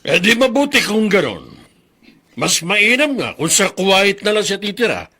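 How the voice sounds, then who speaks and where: Bright-voiced, stern, bitter grandfather. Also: high-pitched, big pitch swings, moderate pace, breathy edge, faint music behind, full character voice. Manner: scolding, hard-hearted. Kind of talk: radio drama acting.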